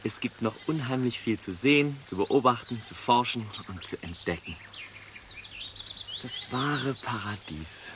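A man's voice: mid-range voice, unhurried, heavy background noise, close to an everyday voice. An actor playing a curious, content young man in a radio drama, eagerly explaining. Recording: outdoor birdsong ambience.

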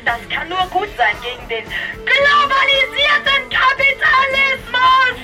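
Eine Schauspielerin spielt in einem avantgardistischen Hörspiel eine verzweifelte, selbstzerstörerische Frau, die theoretisierend um sich selbst kreist. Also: female voice, expressive, unhurried, far from the mic, loud music behind the voice. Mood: shouting despair. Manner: repetitive theorising swinging from murmur to shrill cries. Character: desperate, self-destructive working woman lost in her relationships. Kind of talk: stylised avant-garde radio play monologue.

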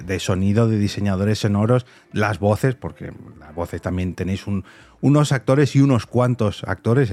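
A man's voice: on the low side, dark tone. A man with an accent from Spain hosting a conversational interview in an enthusiastic manner. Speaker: podcast host and interviewer.

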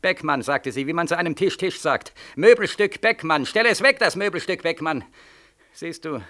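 A young soldier back from war in an old radio play. His voice sits fairly high and cuts sharply. He is despairing and bitterly sarcastic, hammering on repeated phrases. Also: expressive, moderate pace, pushed and bright. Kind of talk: 1940s German radio play acting. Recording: vintage 1940s radio studio recording.

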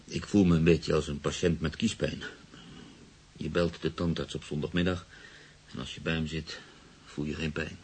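An actor in a radio drama playing an anxious, brooding newspaper columnist who speaks earnestly and confidingly. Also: male voice, extremely low pitch, level tone, moderate pace, a little mellow, slight background noise. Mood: subdued, reflective. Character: troubled, anxious newspaper columnist haunted by a mystery.

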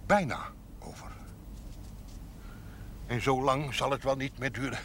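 An actor playing a lonely, gruff rural man who speaks in short, plain, colloquial phrases in a Dutch period TV drama. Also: medium pitch, fairly even intonation, a little slow, a bit bright, a little hiss or hum, natural voice. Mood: tender, mournful. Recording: 1970s TV soundtrack with music.

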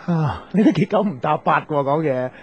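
An actor with a Hong Kong Cantonese accent playing a gossipy, campy, meddling friend in a radio drama. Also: male voice, a little high, lively intonation, normal speed. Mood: chatty, sly. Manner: chatty, quirky, gossipy. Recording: dull, noisy radio drama recording.

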